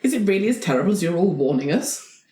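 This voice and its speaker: A woman's voice: fairly low pitch, very animated, fast, dark tone. A woman playing a tabletop game in character, with a gallant, declarative manner. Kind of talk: in-character improvised roleplay. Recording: roomy echo.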